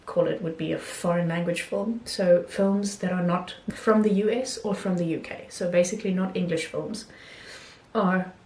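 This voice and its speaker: A woman talking casually about films she has watched, pausing often with ums and restarting her sentences. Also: lowish voice, fairly even intonation, a little mellow, echoey room. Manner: hesitant, many ums and restarts. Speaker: film vlogger. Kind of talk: casual film review talk.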